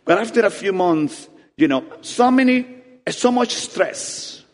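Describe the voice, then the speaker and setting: A man with preaches in a hall with a long, ringing reverb. His voice sits a little high for a man and leaps up and down with animated, emphatic swings. He drops to soft asides, then climbs to ringing peaks. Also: unhurried. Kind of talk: sermon. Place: church hall.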